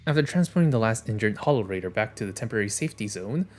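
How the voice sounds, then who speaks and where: A man's voice: on the low side, expressive, racing, faint music behind. A man who streams games, reading story narration and dialogue aloud on a livestream.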